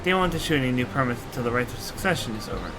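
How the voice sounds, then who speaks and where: A man's voice: mid-range voice, lively intonation, rapid-fire, noisy background, natural voice. A man voicing a proud, eager young princess from a fantasy game, explaining her homeland earnestly. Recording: game music under the voice.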